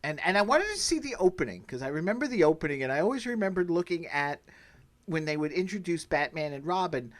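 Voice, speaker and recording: A man's voice: fairly high pitch, animated, fast talker. A man hosting a casual review chat, recapping in an easygoing, conversational way. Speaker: podcast host.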